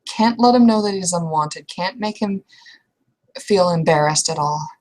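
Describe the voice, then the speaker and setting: A woman's voice: lowish voice, moderate pace, a little mellow. A young woman improvising in-character roleplay conversation, speaking casually and hesitantly with frequent restarts. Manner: casual, hesitant, trailing restarts with mild swearing. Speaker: roleplay player.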